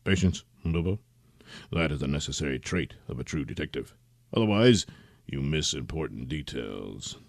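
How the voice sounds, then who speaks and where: A man's voice: very deep voice, lively intonation, moderate pace, creaky, echoey room, heavily acted. A man voicing a pompous, hot-tempered masked vigilante who bellows insults, in a comedy audio drama. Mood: blustering.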